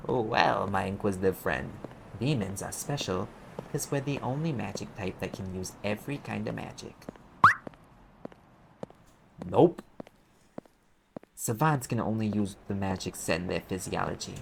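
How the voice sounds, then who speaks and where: A man's voice: on the low side, normal speed, gravelly, noisy background. A man playing a sarcastic, impatient private eye mage in an audio roleplay, explaining things in a conversational, exasperated way. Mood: lecturing, sardonic. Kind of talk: audio roleplay acting, one side of a dialogue.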